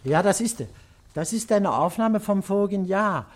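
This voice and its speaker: An actor playing an anxious, ailing middle-aged father in a German radio play, hesitant, evasive and apologetic, with a husky, breathy voice. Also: high-pitched, expressive, moderate pace. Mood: anxious, pleading.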